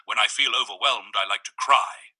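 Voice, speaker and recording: A man's voice: on the low side, moderate pace, bright, forceful tone, breathy edge, full character voice. A man voicing an overly cheerful, pushy young man who turns unhinged, in a chirpy sing-song way. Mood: cheery. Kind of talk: storybook character voice.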